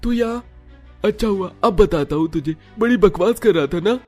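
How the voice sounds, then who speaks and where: High-voiced dramatic schoolboy played by a man. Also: expressive, moderate pace, mellow. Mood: aggressive, taunting. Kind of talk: animated horror story dialogue. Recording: music under the voice.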